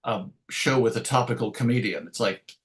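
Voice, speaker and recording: A man with a mid-pitched voice talks in a relaxed interview setting as the guest. He is thoughtful and anecdotal, often slipping into quoted asides to make a point. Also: moderate pace. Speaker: interview guest, radio show host. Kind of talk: conversational interview.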